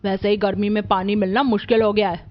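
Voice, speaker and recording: A woman's voice: mid-range voice, level tone, fast, dull audio, faint music behind, natural voice. A woman voicing a cruel middle-aged aunt in a family cartoon, bossy and harsh as she scolds. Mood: scolding, threatening. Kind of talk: Hindi animated family story dialogue.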